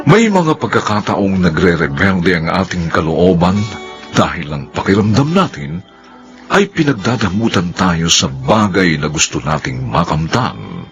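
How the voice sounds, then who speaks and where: Casting: male Filipino drama narrator, low creaky voice, very slow, declamatory and solemn. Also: sing-song, muffled recording. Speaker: radio drama narrator and director. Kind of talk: dramatic scripted radio narration. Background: prominent music.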